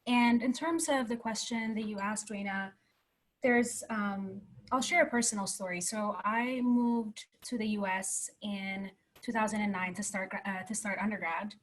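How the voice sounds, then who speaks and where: A woman's voice: medium pitch, steady tone, normal speed, low-quality recording. Young woman from ethiopia on a video call, earnest and chatty, keeps saying right?. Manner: earnest, conversational, frequent "right?" tags. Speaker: panelist, computer scientist. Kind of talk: panel discussion on video call.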